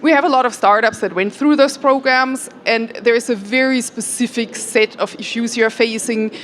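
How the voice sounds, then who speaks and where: A woman's voice: on the high side, normal speed, fairly bright tone, slight background noise. A woman from Germany who is an accelerator CEO, speaking conversationally on a conference panel with frequent fillers. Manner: conversational, many "um"s and "like"s. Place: conference hall.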